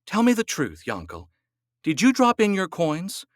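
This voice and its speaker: A man's voice: sits high, wildly swooping pitch, unhurried, dry recording, studio quality, voice pushed up, exaggerated voice. A man voicing a quarrelsome wife in a storybook, wailing and scolding her husband. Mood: shrill scolding. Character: quarrelsome shrill village wife.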